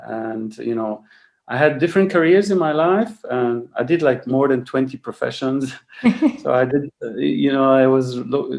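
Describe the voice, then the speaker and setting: Man, 40s, from Lebanon, podcast guest, gravelly, monotone, hesitant. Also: medium pitch. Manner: halting, many fillers and restarts. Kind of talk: casual interview talk.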